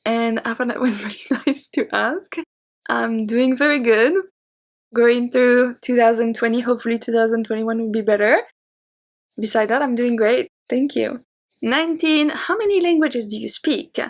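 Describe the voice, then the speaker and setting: A woman's voice: a little high, normal speed. A woman in her thirties with a French accent, a French native speaker, answering viewer questions in a chatty, open way. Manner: chatty and open, with light laughs. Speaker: French teacher and YouTuber. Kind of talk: casual Q&A talking to camera.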